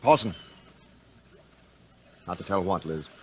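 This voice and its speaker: A man acting a tough, forceful diver husband in a 1950s radio adventure, gruff and commanding. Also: sits low, animated, slow. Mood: suspicious questioning. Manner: gruff, commanding, clipped questioning. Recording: noisy vintage 1950s radio drama.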